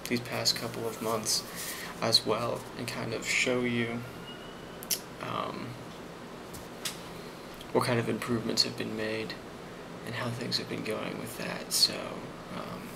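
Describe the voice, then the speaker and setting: A man acting as a friendly office boss in an ASMR role play, with a creaky, mid-pitched voice. He rambles softly and hesitantly, reassuring as he goes. Loud noise runs constantly behind him. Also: monotone, slow. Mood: calm, casual. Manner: soft-spoken, hesitant, reassuring.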